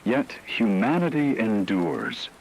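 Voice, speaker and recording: A man delivering dramatic scripted narration in a grave, measured, portentous way with deliberate pauses. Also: lowish voice, sing-song, vocal fry, a little mellow, slight background noise, poor audio. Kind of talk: dramatic scripted narration in the manner of a radio story.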